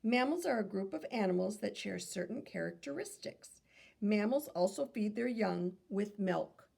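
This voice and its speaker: Expressive woman reading aloud, very creaky voice. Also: mid-range voice, normal speed. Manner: instructive, posing questions to young listeners. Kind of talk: children's read-aloud with questions and asides.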